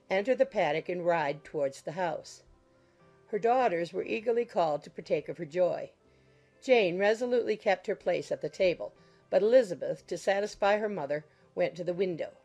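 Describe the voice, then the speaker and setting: Woman narrator, slightly low voice, formal storytelling, scripted classic fiction, faint music underneath. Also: a little mellow.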